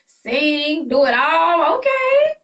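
High-pitched bubbly woman hosting, off mic. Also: sing-song, slow pace, a bit bright, dull audio. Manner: bubbly, slangy, reactive. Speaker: host interviewer. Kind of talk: casual hyped-up chat interview. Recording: distant mic with music behind.

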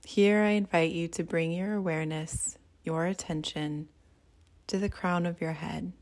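Slow, low-pitched woman guiding meditation. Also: steady tone. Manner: soothing, gentle, unhurried guiding. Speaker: meditation teacher and host. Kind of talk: guided meditation.

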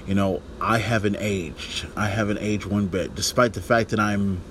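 A man's voice: fairly low pitch, steady tone, moderate pace, fairly bright tone. Casual man podcasting over background noise. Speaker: wrestling podcast host. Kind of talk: casual, rambling solo podcast commentary.

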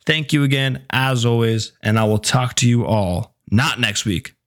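A young man hosting his own podcast in a casual, chatty way, with a sore, hoarse voice that is giving out. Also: mid-range voice, moderate pace, fairly bright tone. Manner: laid-back, chatty, swears casually. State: sore throat, losing voice. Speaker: podcast host. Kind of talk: casual solo podcast chat.

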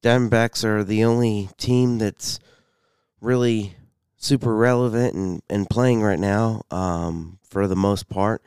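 Slow, deep-voiced congested man. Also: steady tone, a little mellow, clean tone, no room sound, clean studio sound. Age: 30s. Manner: halting, many fillers and restarts. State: congested, slight cough. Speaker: podcast host. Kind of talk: solo sports podcast monologue.

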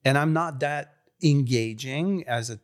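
A Hungarian-accented man from Serbia, a pastor talking in an interview. He speaks slowly, with a smooth, clear voice, and stops often to restart his sentences with fillers. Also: mid-range voice. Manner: halting, many fillers and restarts. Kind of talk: interview conversation.

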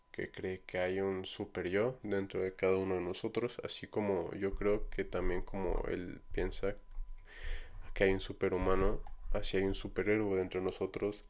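Young Mexican man, low flat voice. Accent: Mexican Spanish. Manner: rambling, informal, many fillers and restarts. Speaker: podcast host. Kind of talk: casual solo podcast monologue.

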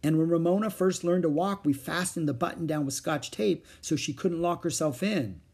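A man voicing a stern, practical mother who scolds her children firmly, in a husky voice. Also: fairly high pitch, normal speed, darkish tone, voice pushed up. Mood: puzzled. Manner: firm and scolding.

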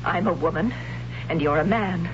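An actress playing a troubled young warrior woman in a 1970s radio drama, speaking haltingly and close to tears, on a muffled old radio recording with hiss. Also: on the low side, lightly acted. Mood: torn. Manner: halting, hesitant, breaking into tears.

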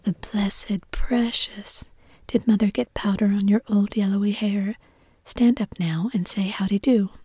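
A gushing young society woman, as a woman whispers her, crooning and flighty. The whisper is mellow and breathy. It sounds close and dry. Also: medium pitch, fairly even intonation, a little slow, dry recording.

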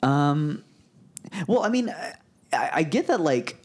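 A man's voice: on the high side, big pitch swings, takes it slowly. A young man, a comedian guest on a podcast, telling stories in a loose, chatty way full of fillers and restarts. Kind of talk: casual podcast chat.

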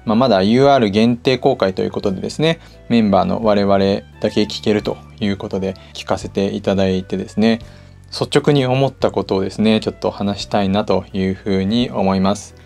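A man speaks as a podcast host in a polite, conversational manner. His voice has noticeable creak at an ordinary male pitch, with music playing behind him. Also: a little fast, a little mellow. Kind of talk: casual solo talk.

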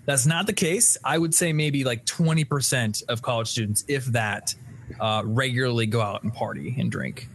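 A man's voice: mid-range voice, normal speed, slight background noise. Young male host, easygoing and candid, mid-range voice. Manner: relaxed, candid, casual swearing. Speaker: podcast host. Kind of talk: casual live-stream chat.

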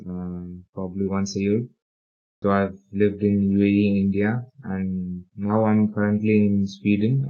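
A young man from the UAE, talking casually about his life in an interview, hesitant with many fillers. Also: lowish voice. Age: young adult. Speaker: podcast co-host and interviewee. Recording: dull lo-fi audio.

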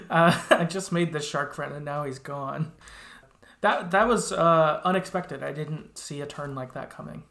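A man's voice: on the high side, measured pace, creaky, bright, forceful tone. A man hosting a podcast, casually recapping a game in a hesitant, conversational way. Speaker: podcast host.

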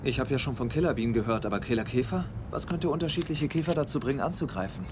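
A man playing a cocky, bantering young investigator in a dubbed drama, brisk, wry and self-assured. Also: medium pitch, expressive, heavy background noise, natural voice. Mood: intrigued. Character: cocky, bantering older brother investigating strange deaths. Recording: music behind the dialogue.

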